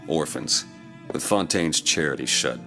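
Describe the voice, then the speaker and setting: Very deep, gravelly noir detective. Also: male voice, animated, measured pace, exaggerated voice. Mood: world-weary, guarded. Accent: American. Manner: terse, cynical, guarded. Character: weary, gruff, hard-boiled male private detective. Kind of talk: noir video game acting. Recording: music behind the voice.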